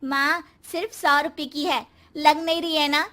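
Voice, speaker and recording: Woman voicing a high, bright young sister-in-law. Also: steady tone, measured pace. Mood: eager. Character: plain-spoken young sister-in-law, sweet but pointed. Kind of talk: Hindi family cartoon dubbing.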